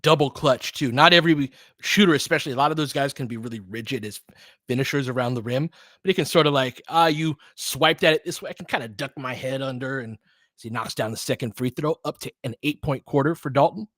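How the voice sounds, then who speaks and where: A man's voice: mid-range voice, a little fast, a bit bright, dry recording. Casual partisan male basketball commentator, dry sound. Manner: partisan fan, informal, rooting for his team. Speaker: play-by-play commentator and host. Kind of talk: live basketball play-by-play with casual analysis.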